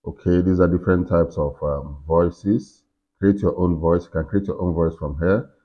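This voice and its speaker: A man in his forties with a low voice talks through a software tutorial in a casual way. He guides himself and the listener step by step. The recording is slightly dull and lo-fi. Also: steady tone, normal speed. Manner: talking himself through each on-screen step. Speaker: tutorial host. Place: indoors in a furnished room.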